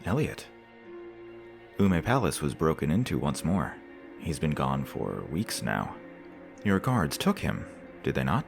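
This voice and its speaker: Composed, very deep-voiced prince , acted by a man. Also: big pitch swings, vocal fry, soft background music, exaggerated voice. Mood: concerned. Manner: measured, formal. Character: courteous, composed visiting foreign prince, a candid friend. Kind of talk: audio drama acting.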